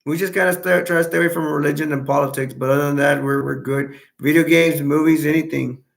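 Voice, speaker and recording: A young man from Texas chatting casually on a live stream, answering viewers in a rambling, halting way with many restarts. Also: fairly high pitch, fairly even intonation, gravelly. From Texas, United States.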